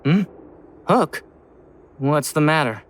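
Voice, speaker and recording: Fretful game suspect, swooping intonation. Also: female voice, low-pitched, expressive, slow pace, slight background noise. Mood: anxious. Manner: fretful, hesitant, pleading. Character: anxious, apologetic vagrant suspect in game. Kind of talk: English video game voice acting. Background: game music.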